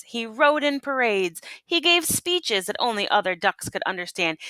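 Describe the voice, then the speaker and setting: A woman reading a children's picture book aloud in an expressive, teacherly way, pausing to prompt listeners with questions. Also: medium pitch, dry recording. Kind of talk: expressive read-aloud storytelling with asides and questions.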